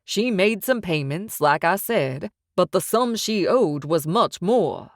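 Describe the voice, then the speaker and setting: A woman's voice: lowish voice, lively intonation, slow, no echo, clean studio sound. A woman voicing a smug, menacing male mob boss who talks with amused, sing-song menace.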